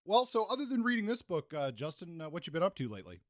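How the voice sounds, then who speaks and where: A man's voice: sits high, very animated, fast, dry recording, faint music behind. Man co-hosting, dry close sound. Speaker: podcast co-host. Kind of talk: conversational book discussion.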